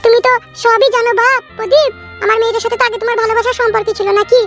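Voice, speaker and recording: A woman's voice: extremely high pitch, fairly even intonation, very fast, fairly bright tone. A woman voicing a middle-aged, scheming village mother-in-law in a comedy cartoon, fawning and folksy, with a Bengali accent. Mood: fawning, probing. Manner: fawning, folksy, repetitive endearments. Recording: music behind the voice.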